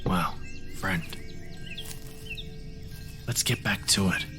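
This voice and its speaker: A man playing a courteous, self-assured magical wanderer who collects stories, speaking in a measured, formal way in an audio drama. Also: low voice, music in the background.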